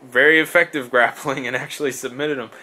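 Bright-voiced man in rambling podcast chat. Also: medium pitch, fairly even intonation, fairly quick. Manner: rambling, many fillers and restarts, swears. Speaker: podcast co-host. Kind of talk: casual sports chat.

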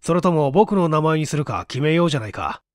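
Male narrator as eager writer, smug, rambling explanations, storybook character, higher-set voice, very lively intonation. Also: quick pace, no echo, clean studio sound, lightly acted. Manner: self-satisfied, explanatory, rambling.

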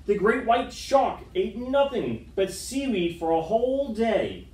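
A middle-aged man reads a picture book to children in a fairly high, very lively voice. His storytelling is dramatic and expressive. The sound is roomy, picked up from a distant camera microphone. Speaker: storytime reader. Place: school room with wood-panelled walls, indoors. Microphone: far camera microphone.

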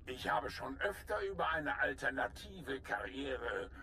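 An actor playing a jovial, dapper military general with dry wit in a game, speaking emphatically. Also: male voice, mid-range voice, expressive, measured pace, sharp tone, a little hiss or hum. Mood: playful. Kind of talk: dramatic game voice acting.

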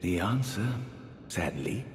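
Deep, slow, genteel sorcerer priest. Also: male voice, expressive. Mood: sorrowful, bitter. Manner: theatrical, archaic, drawn-out phrasing. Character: genteel, eerie aristocratic sorcerer high priest, grandiloquent and soothing. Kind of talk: dramatic fantasy game character dialogue. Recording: echoey hall reverb, faint rumbling noise.

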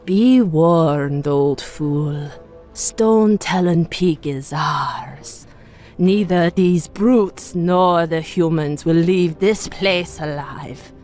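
Slow, scornful harpy queen. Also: on the low side, darkish tone, soft background music. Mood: scornful threat. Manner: slow, threatening, imperious. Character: female harpy queen, hostile, scornful, commanding. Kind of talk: video game villain acting.

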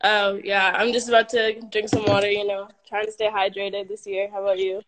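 A young woman joining a livestream by phone video call, making soft, low-key small talk. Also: level tone, brisk, a little hiss or hum, rough recording. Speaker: livestream guest. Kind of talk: casual video-call chat.

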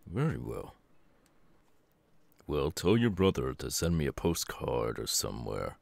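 A stern middle-aged superhero father, acted out by a man for an ASMR roleplay. He is brooding yet caring, with a bottomless low voice that crackles with fry. He talks slowly and weightily, with halting pauses. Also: no echo, heavily acted. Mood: measured concern. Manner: slow, deliberate, halting delivery with sighs. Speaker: voice actor.

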